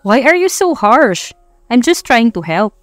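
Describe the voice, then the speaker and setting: A woman's voice: a little high, very animated, a little slow, no echo, voice pushed up. A smug, stubborn young rich husband from a romance audiobook, played by a woman. He talks in a cool, flippant, teasing way and slips between Tagalog and English. The recording is dry, with music underneath.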